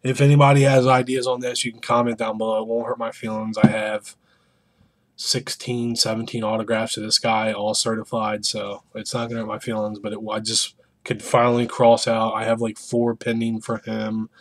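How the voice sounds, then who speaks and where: A man's voice: on the low side, completely flat delivery, moderate pace. A man in his thirties with a North American accent, chatting casually to camera in a rambling, self-deprecating way. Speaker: vlogger showing autograph mail. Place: small indoor room full of collectibles.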